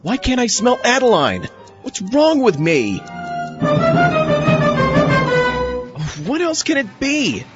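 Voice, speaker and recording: Man acting an anguished, stammering husband, swinging wildly in pitch. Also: wildly swooping pitch, unhurried, muffled recording, over loud music, exaggerated voice. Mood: distraught. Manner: stammering, sighing. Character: anguished husband losing his senses, frantic and self-doubting. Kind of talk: audio drama dialogue.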